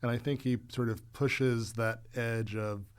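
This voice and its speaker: A man speaking as a podcast guest in a casual interview, measured and thoughtful. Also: medium pitch, steady tone, smooth. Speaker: podcast guest, cast member.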